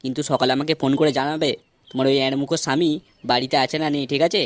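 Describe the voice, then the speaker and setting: A man's voice: mid-range voice, steady tone, racing, no echo, natural voice. A man voicing a blunt, brash village man in a crude comedy cartoon, speaking colloquially. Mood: eager. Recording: music laid under the voice.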